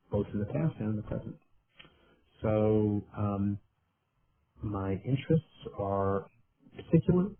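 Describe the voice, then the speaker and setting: A man who teaches history, introducing himself in a thoughtful way with many fillers. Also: fairly low pitch. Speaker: history professor. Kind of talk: interview self-introduction. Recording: tinny phone line with faint noise.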